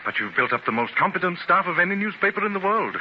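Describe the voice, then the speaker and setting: An actor playing a protective, deferential secretary, earnest and soothing, in 1930s radio drama style. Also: male voice, sits high, very animated, quick pace. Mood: concerned. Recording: noisy, dull 1930s radio transcription.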